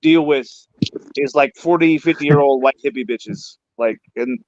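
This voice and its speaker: A man's voice: mid-range voice, measured pace, darkish tone, lo-fi sound. A man in casual panel chat who talks in slangy, teasing asides.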